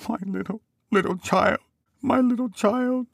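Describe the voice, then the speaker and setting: A man voicing a gentle, grieving working-class father in a storybook reading, tender and wistful. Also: lowish voice, moderate pace, creaky, slightly rough, no room sound, clean studio sound, pitched above the performer's own voice, heavily acted. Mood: breaking down, grieving.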